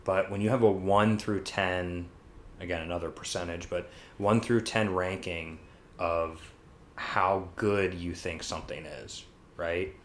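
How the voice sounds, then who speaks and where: A man with a low-pitched voice talks as a podcast co-host. His style is casual and opinionated, loose and informal in wording. The recording has a noticeable roomy echo. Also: fairly even intonation, a little slow, a bit bright, a little hiss or hum. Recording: roomy, echoey sound.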